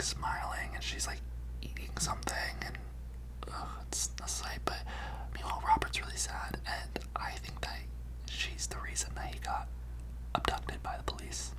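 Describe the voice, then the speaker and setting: An ASMR performer playing a gossipy, dramatic high school student, rambling in a hushed, conspiratorial way. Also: normal speed, close to an everyday voice, low-quality recording. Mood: suspicious, hushed. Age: teenage character. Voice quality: breathy whisper with creaky edges. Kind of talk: ASMR roleplay.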